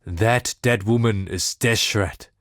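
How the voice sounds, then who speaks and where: Slow, brooding male desert mystic. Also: lowish voice, a bit bright, no echo, clean studio sound. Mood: dark, portentous. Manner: measured, portentous, wryly philosophical. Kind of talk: dramatic scripted video game monologue.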